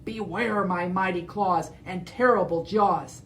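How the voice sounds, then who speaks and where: A woman's voice: a little hiss or hum, lightly acted. A woman doing a roaring, boastful dinosaur king. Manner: roaring, slow booming boasts. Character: blustering boastful male Tyrannosaurus, huge and hungry.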